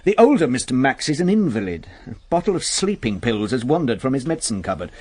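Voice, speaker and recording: An actor playing a wry, informal police superintendent in a radio drama, with a gossipy manner. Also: male voice, mid-range voice, big pitch swings, quick pace, a little mellow, breathy edge, dry recording. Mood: gossipy briefing.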